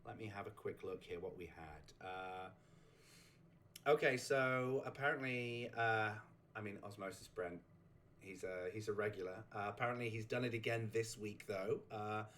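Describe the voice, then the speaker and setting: Lowish guy hosting a chatty recap show, rambly, keeps chuckling and restarting, voice just getting over a sore throat. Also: unhurried, fairly bright tone, a little hiss or hum. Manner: rambling, chuckling, self-interrupting. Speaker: host. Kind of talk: casual chat recapping a game community.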